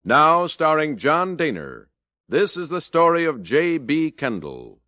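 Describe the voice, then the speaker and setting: A male announcer introducing an old radio western in a grand, measured style. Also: a little high, big pitch swings, no room sound, studio quality. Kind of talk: 1950s radio show announcing. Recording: old radio broadcast over loud music.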